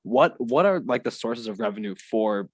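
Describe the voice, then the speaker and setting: A man's voice: medium pitch, animated, normal speed, dry recording. A man interviewing someone in a casual, agreeable way, chiming in often with short affirmations.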